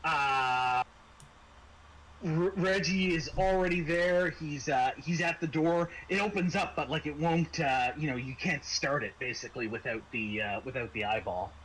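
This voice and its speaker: A man with a fairly high, very bright voice narrates a tabletop game as game master. He talks casually and often stops to rephrase. There is some noise on the recording. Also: moderate pace, low-quality recording.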